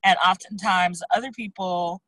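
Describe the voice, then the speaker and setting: A woman co-hosting an online group discussion, explaining in quick bursts and encouraging questions. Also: lowish voice, fairly even intonation, bright, forceful tone, dry recording, rough recording. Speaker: co-host of a discussion salon. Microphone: online video call.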